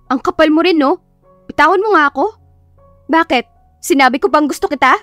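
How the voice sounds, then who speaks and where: A woman's voice: high voice, brisk, a bit bright, dry recording, soft background music, voice pushed up. A woman voicing a feisty, lovestruck young woman who is indignant and dramatic, in an audiobook love story. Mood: angry, hurt. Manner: indignant, dramatic, stammering when flustered.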